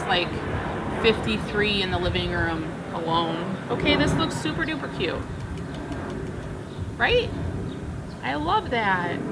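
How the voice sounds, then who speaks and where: A woman's voice: a little high, noisy background. A woman in her thirties chatting casually as she repots plants outdoors on a backyard patio, recorded by a camera across the table. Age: 30s. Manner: chatty, upbeat, talks while working with her hands. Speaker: plant vlogger. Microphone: camera framing from across a table.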